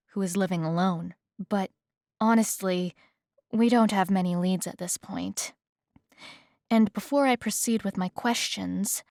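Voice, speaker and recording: Slow-talking, flirty female police detective, acted by a woman. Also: mid-range voice, no echo, studio quality. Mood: composed, official. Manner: slow, teasing, coy delivery with pauses. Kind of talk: ASMR roleplay, spoken directly to the listener.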